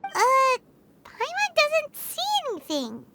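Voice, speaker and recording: A woman voicing a small, childlike fairy companion in a video game, excitable and chirpy. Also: very high voice, slow pace, noisy background, heavily acted. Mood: curious. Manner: refers to self in third person, excitable. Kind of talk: video game dub acting. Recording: music behind the voice.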